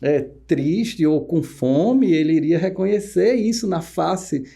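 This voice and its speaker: Podcast guest expert: male, northeastern Brazilian accent, soft dark timbre with fry, explanatory and searching for words. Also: on the high side, expressive, slow. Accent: northeastern Brazilian, Pernambuco. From Pernambuco, northeastern Brazil.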